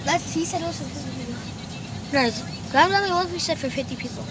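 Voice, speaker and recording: High-pitched excitable female vlogger in a car. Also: a little fast. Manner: excitable, repeatedly addressing viewers. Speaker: vlogger filming a prank. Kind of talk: excited casual vlog chat to viewers. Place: inside a car at a drive-thru. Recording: noisy in-car recording. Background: car and vehicle noise.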